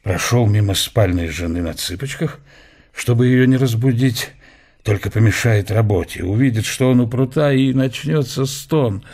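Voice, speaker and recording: Guy narrating a literary radio drama, kinda raspy voice, middle pitch. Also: normal speed, darkish tone.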